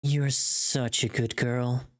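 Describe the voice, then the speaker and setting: A streamer acts out a seductive admirer for a voice pack. The delivery is soft and sensual, drawn out very slowly at a middle pitch. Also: level tone, lightly acted. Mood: sultry praise. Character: sultry, slow-talking seductive admirer giving praise. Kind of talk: voice pack line reading.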